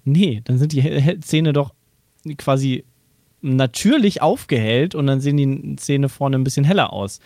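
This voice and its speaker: A man on a casual podcast chat, lively and joking, often laughing. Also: medium pitch, moderate pace, creaky, no room sound, clean studio sound. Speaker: podcast regular.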